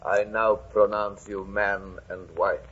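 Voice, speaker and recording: An actor playing a solemn clergyman, measured and ceremonial, in an old-time radio drama. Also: on the low side, level tone, fairly bright tone, slightly rough, full character voice. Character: solemn officiant reading wedding vows. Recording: muffled lo-fi vintage radio recording.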